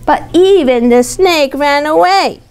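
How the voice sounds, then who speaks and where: A woman's voice: high-pitched, slow. A woman reading a picture book aloud to a young child, expressively, with simple explanations and questions along the way. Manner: animated, simple child-directed phrasing, often repeating and asking questions.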